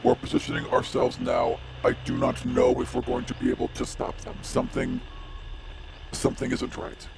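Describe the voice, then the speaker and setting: Man putting on a gravelly, grave dwarf warden. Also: very deep voice, monotone, moderate pace, over loud music, full character voice, poor audio. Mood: troubled. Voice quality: gravelly, creaky. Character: grave, formal old warrior warden, a dwarf in magical armor.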